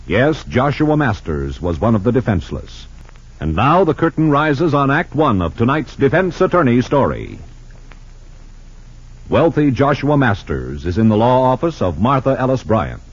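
A man's voice: medium pitch, big pitch swings, moderate pace, husky. A man as a solemn, portentous announcer narrating an old radio drama in a theatrical scripted style. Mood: grave, dramatic. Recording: dull old radio recording. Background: loud music.

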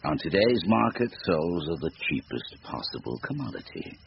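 An actor playing a sinister supernatural bargainer in a radio drama, gravelly, with a deliberate, formal, taunting delivery. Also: male voice, low-pitched, big pitch swings, exaggerated voice. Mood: amused, disdainful. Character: sinister supernatural stranger, silky, devilish bargainer, bored and mocking. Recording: noisy, muffled radio drama recording.

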